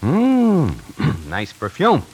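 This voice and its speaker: A man's voice: a little high, huge pitch swings, very slow, background noise, full character voice. An actor playing a streetwise, nervous young tie salesman in a 1940s radio play, tough-talking and slangy, on an old radio recording. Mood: wary. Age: young adult. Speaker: lead actor narrating.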